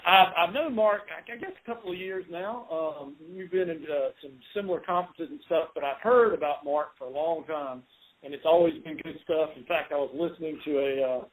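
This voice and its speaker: A man in his late forties hosts a relaxed podcast interview, his voice slightly husky and a little higher than usual. He stumbles and restarts often, filling gaps as he goes. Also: brisk, rough recording. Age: 40s. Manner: hesitant, many fillers and restarts. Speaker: podcast host. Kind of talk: casual interview.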